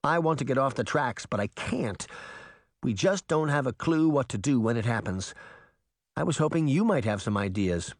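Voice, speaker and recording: A man's voice: mid-range voice, expressive, moderate pace, dry recording, studio quality, lightly acted. Man reading as a determined, worried young girl. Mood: troubled. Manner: earnest, troubled. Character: determined, worried young girl heroine, weary but defiant. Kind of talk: audiobook character voice.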